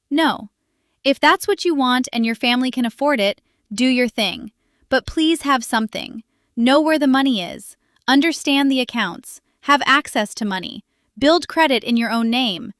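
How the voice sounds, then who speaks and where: A woman's voice: fairly high pitch, fairly bright tone, dry recording, studio quality. A woman giving direct-to-camera social commentary in an emphatic, sassy way, firing off rhetorical questions and building lists. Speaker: commentary host.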